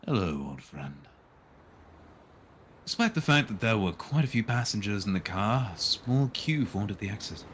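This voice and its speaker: A man's voice: on the low side, big pitch swings, normal speed, fairly bright tone, slight background noise. A man streaming a visual novel, reading it aloud and dropping in dry, irreverent asides. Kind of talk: live read-aloud of a game with casual joking asides.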